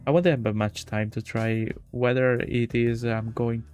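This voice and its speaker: A man's voice: mid-range voice, little pitch movement, darkish tone. A man streaming a game, reading a visual novel aloud with casual commentary and often stumbling over words. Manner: halting, stumbles and repeats words while reading. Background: game music.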